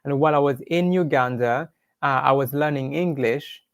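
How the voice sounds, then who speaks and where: A man's voice: medium pitch, normal speed, smooth, no echo, studio quality. A man with a Rwandan accent shares his personal testimony as an interview guest, speaking in an earnest, devout storytelling way.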